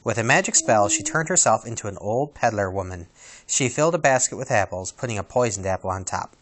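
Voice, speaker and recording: A man reading a children's storybook aloud as storyteller, with a dramatic, expressive delivery. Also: on the low side, a little fast.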